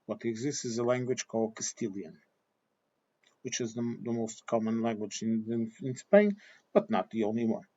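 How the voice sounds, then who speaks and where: A man from southern Portugal with a Portuguese accent, talking casually in English as a second language in a halting, digressive monologue, often checking in with a questioning okay. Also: on the low side, warm tone. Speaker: vlogger giving personal commentary. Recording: dull lo-fi sound.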